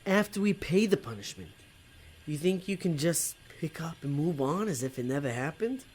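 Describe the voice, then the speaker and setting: A man's voice: on the high side, big pitch swings, normal speed, a little mellow, breathy edge. A man playing a conflicted, working-class demon tempter in an audio drama, talking in a colloquial, hesitant way. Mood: hesitant, troubled.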